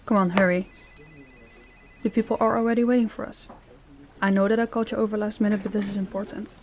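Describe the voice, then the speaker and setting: A woman's voice: mid-range voice, fairly even intonation, fast talker, a little mellow, close to an everyday voice. Woman playing a stern female boss, businesslike, commanding, audio drama, noticeable background noise. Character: stern female crime boss running her department heads. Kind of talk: scripted audio roleplay acting.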